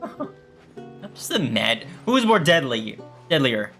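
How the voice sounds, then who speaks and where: A man's voice: mid-range voice, big pitch swings, slow, sharp tone, faint music behind. A man giving casual commentary as a gaming streamer, rambling and thinking aloud.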